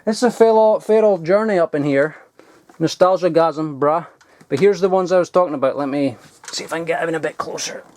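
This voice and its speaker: A man's voice: on the high side, brisk, a little mellow. A man rummaging through a cluttered loft, talking casually with lots of fillers and swearing as he shows off old toys. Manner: swears often, filler-heavy and digressive. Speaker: vlogger. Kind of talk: casual rambling show-and-tell.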